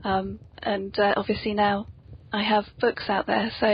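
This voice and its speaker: A woman's voice: mid-range voice, little pitch movement, normal speed, a little hiss or hum. A woman hosting a casual, playful interview chat, talking in a self-deprecating way. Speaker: podcast host and author. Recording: dull lo-fi podcast audio.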